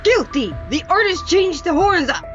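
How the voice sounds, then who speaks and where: A woman's voice: very high-pitched, lively intonation, fairly bright tone, muffled recording, soft background music, pitched above the performer's own voice. A woman voicing a suspicious, melodramatic detective for a comic video skit, pondering theatrically. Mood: accusing. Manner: slow, theatrical, deliberate pondering.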